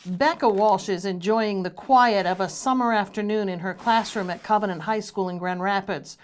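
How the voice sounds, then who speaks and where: A woman's voice: mid-range voice, normal speed, a little hiss or hum. A woman reporter reading scripted narration for a television news feature.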